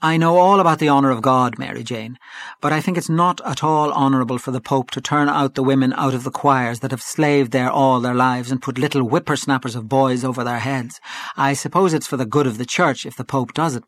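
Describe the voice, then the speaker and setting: A man's voice: on the high side, brisk, no echo. An indignant old aunt from a storybook, acted out by a man. The voice is fussy and emphatic, protesting with hurt pride. It is set close and dry. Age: older.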